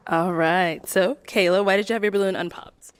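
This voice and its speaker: A woman hosting a dating game show, giving brisk directions and repeating set questions to contestants. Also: medium pitch, steady tone, noisy background.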